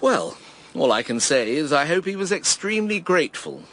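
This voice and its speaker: An actor playing a polite, puzzled gentleman in a radio drama. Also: a little high, sing-song, moderate pace, a little hiss or hum. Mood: curious, puzzled. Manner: polite, genteel. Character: courteous, puzzled, gentlemanly male observer.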